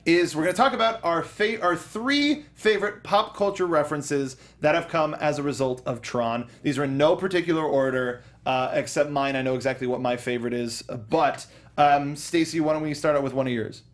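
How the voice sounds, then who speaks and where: Excitable man co-hosting a pop culture show. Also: fairly high pitch, a little fast, few pauses. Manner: enthusiastic, rambling with fillers and restarts. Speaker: podcast co-host. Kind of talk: casual chat.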